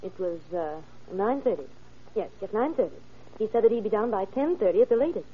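An actress playing an earnest, worried doctor's secretary who gives her account briskly and precisely in an old radio crime drama. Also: medium pitch, lively intonation, close to an everyday voice. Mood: certain, earnest. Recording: dull vintage radio recording, faint noise.

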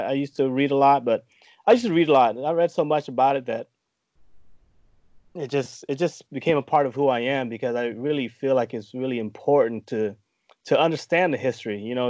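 A middle-aged American man with a cold, chatting informally and slangily as an interview guest, rambling a little and clearing his throat. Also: medium pitch, a little mellow, no echo, dull audio. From United States (Tacoma, Washington). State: under the weather with a cough, clearing throat. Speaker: podcast guest, community activist. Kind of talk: casual conversational interview.

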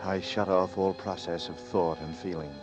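A man's voice: deep voice, fairly even intonation, normal speed, lightly acted. An actor playing a tense, hunted man who narrates his ordeal in terse, grim first person, in a 1940s radio drama. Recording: dull 1940s radio broadcast with music.

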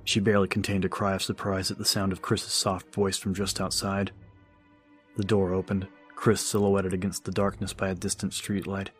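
A man's voice: sits low, little pitch movement, normal speed. A man narrating a scripted horror story as an audiobook narrator. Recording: music under the voice. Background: music.

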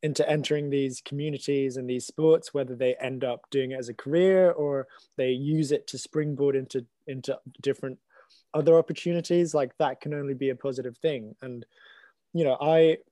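A man's voice: mid-range voice, fairly even intonation, normal speed, dark tone, no room sound. A young man from Hong Kong hosting a podcast, earnest and loosely phrased. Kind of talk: casual discussion.

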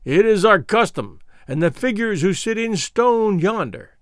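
A man's voice: high voice, expressive, unhurried, no room sound, clean studio sound, pitched above the performer's own voice. A man playing a ruthless king who speaks in a grave, commanding way. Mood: grim.

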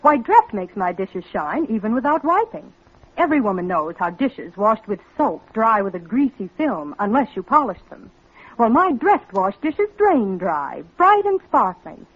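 Animated, husky American housewife. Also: female voice, a little high, moderate pace. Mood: delighted. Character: enthusiastic American housewife in a radio commercial. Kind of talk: 1940s radio commercial acting. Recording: muffled old radio recording.

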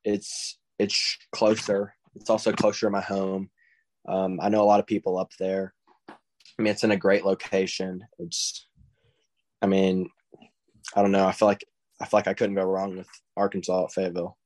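A man's voice: deep voice, level tone, normal speed, darkish tone. A teenage boy from Texas with a Southern accent, answering interview questions in a laid-back way and saying "I mean" constantly. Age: teens. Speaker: guest, high school baseball recruit.

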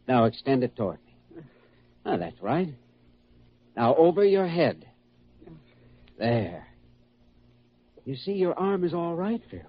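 An actor playing a calm, authoritative American doctor in a classic radio drama, speaking in a measured, reassuring way. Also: male voice, medium pitch, breathy edge, exaggerated voice. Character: calm, authoritative male doctor guiding a hypnotized patient. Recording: dull, lo-fi old radio recording.

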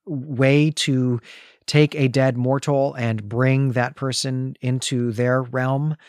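A man's voice: medium pitch, flat delivery, slow pace, a little mellow, dry recording, studio quality. A man in his forties hosting a conversational literary discussion, analytical and given to thoughtful asides. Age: 40s. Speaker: podcast host.

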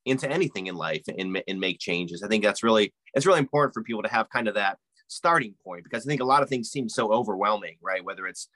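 Bright, quick-talking man, dry sound. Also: lowish voice, expressive, dry recording. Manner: fillers, keeps asking "right?". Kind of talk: casual podcast chat.

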